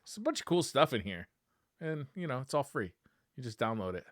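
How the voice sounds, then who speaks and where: A man's voice: medium pitch, very animated, brisk, fairly bright tone, no room sound, clean studio sound. A man co-hosting a podcast, chatting casually and with enthusiasm about games. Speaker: podcast co-host. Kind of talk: casual gaming chat with commentary.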